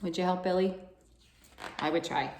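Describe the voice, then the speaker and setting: A woman's voice: fairly low pitch, fairly even intonation, moderate pace, darkish tone, a little hiss or hum. A woman reading aloud expressively at a children's storytime, coaxing young listeners with questions. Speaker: children's library storytime reader. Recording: echoey room sound.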